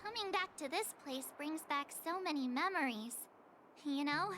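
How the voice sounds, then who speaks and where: Perky, high-pitched teenage game girl. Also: normal speed, a little hiss or hum. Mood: playful. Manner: perky, playful, expressive. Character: bubbly, cheerful teenage girl traveller. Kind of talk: video game voice acting. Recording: music playing clearly behind the voice.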